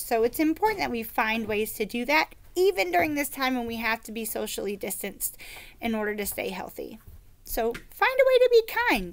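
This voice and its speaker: A woman reading a storybook aloud to children and explaining it plainly. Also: a little high. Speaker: read-aloud reader for children.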